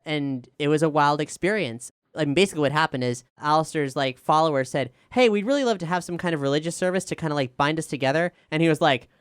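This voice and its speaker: Clear-voiced woman, candid and reflective. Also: low-pitched, quick pace, few pauses, no room sound, studio quality. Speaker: show creator and writer answering questions. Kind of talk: casual reflective Q&A chat.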